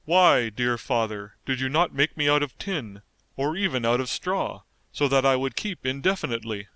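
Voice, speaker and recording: A man's voice: medium pitch, expressive, moderate pace, sharp tone, smooth. A man doing a timid pumpkinhead. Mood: fearful. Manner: worried, plaintive.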